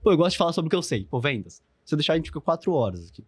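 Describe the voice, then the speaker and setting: Young man, Brazilian Portuguese accent, rapid-fire talker, slangy and profane, dry close sound. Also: a little high, sing-song, slightly rough, no room sound. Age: 20s. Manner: slangy, swears freely, heavy fillers. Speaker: podcast guest, business founder. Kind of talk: casual podcast chat.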